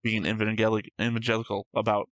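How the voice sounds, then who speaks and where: A man's voice: lowish voice, level tone, quick pace, dry recording, clean studio sound. A man hosting a reaction show, reading out notes and discussing them casually in a matter-of-fact, slightly pedantic way.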